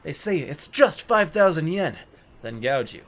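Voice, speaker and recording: An actor playing a slick, talkative, cocky young yakuza in a video game fan dub, over guitar music. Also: male voice, on the high side, sing-song, a little slow, fairly bright tone. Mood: chummy, boastful. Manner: cocky, chatty, casual.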